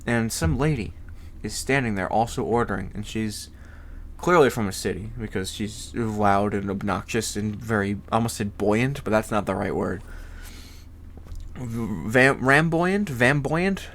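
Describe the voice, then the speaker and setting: A man's voice: fairly low pitch, unhurried, slight background noise. A young man with a congested voice, rambling casually as a podcast host, swearing freely and laughing often.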